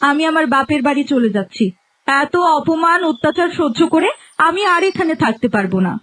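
A woman's voice: high voice, expressive, fairly quick, a bit bright. A woman playing a bossy, theatrical young daughter-in-law in a cartoon melodrama, with music underneath. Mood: aggrieved. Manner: scolding, theatrical.

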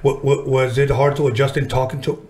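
A man's voice: mid-range voice, little pitch movement, fairly quick, darkish tone. A middle-aged man hosting a casual interview, speaking informally with slang. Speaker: podcast host, interviewer. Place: small podcast studio, indoors. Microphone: tabletop podcast microphone. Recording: roomy studio echo.

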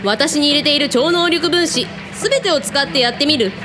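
A woman acts out a radio drama leader with a high voice and a brisk, commanding manner. She is casual and a little teasing, with music playing behind her. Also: close to an everyday voice. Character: commanding, teasing female hotel owner with psychic powers.

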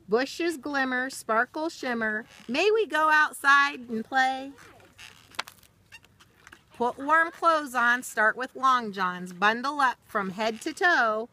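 A woman's voice: on the high side, pushed and bright. A middle-aged woman reading aloud to children as a storyteller, recorded outdoors on a camera a short distance away. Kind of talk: read-aloud of a rhyming picture book with casual asides. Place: outdoors in a snowy backyard. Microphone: camera or phone a short distance away. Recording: outdoor phone video, faint background noise.

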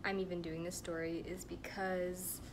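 A woman's voice: fairly low pitch, flat delivery, moderate pace. A late-teen woman talking into a camera at arm's length in her bedroom, casually telling a rambling story full of fillers and restarts. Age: late teens. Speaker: vlogger. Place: bedroom at home with air conditioning running. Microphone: phone or camera at arm's length. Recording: air conditioner hum in the background.

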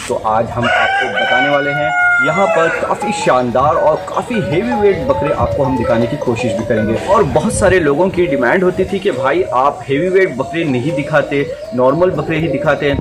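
A man in his thirties hosting a casual vlog outdoors at a farm, speaking directly to his viewers in a friendly, enthusiastic way. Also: a little high. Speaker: vlogger touring a goat farm.

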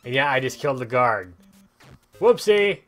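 A man's voice: mid-range voice, sing-song, normal speed, a bit bright. A man hosting a casual let's play, joking and rambling as he thinks aloud. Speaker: host of gaming videos. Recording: video game music behind the voice. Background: video game music.

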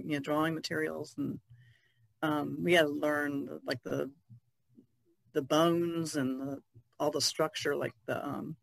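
Creaky-voiced woman in casual conversation. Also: low-pitched, no room sound, poor audio. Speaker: podcast guest artist.

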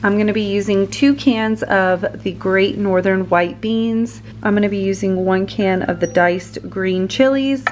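A woman's voice: mid-range voice, measured pace, few pauses. Woman, 30s, casual cooking vlog, upbeat, laughs a lot, home kitchen, faint noise. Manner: chatty, upbeat, laughs often. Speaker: vlogger, mom of four.

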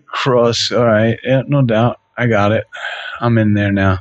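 A man guesting on a prank call podcast, gruff and easily annoyed, cursing casually. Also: lowish voice, steady tone, measured pace, no echo. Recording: dry, dull lo-fi mic.

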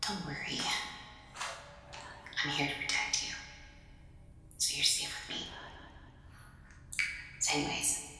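Breathy, very low-voiced woman in echoing ASMR roleplay. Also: takes it slowly, long pauses. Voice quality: soft, breathy, often near whisper. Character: bold, teasing young companion exploring a haunted house. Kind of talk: ASMR roleplay, soft-spoken improvised dialogue. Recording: very echoey hall reverb, faint noise.

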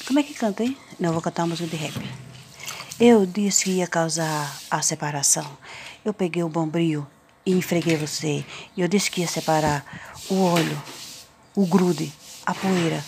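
A woman's voice: deep voice, steady tone, moderate pace. A middle-aged woman, chatting through a cleaning tutorial with slangy, teasing jokes. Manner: jokey, slangy and teasing, playful asides. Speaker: home cleaning vlogger. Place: home kitchen, voice heard while cleaning a stove. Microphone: phone camera held close over the stovetop. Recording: loud background noise.

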